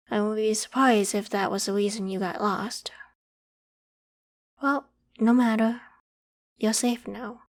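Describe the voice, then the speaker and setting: Gentle, higher-pitched young werewolf woman, voiced by an actress. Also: natural voice. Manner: gentle, reassuring, hesitant when evasive. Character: polite, protective young female werewolf hiding her secret. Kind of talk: ASMR roleplay, acted one-sided conversation.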